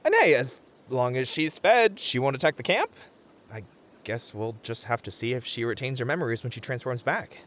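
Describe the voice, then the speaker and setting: A man's voice: mid-range voice, big pitch swings, normal speed, fairly bright tone. A man playing an anxious, sensible young camper in a comedy audio roleplay, wry and hesitant, often stammering as the voice of reason. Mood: bewildered, wry.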